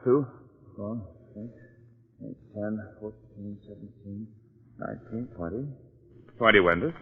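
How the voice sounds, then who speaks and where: An actor playing a brisk, commanding American police detective with a raspy voice in 1940s radio drama style. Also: male voice, fairly low pitch. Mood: hushed counting. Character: forceful, urgent, no-nonsense police detective. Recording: muffled vintage 1940s radio recording.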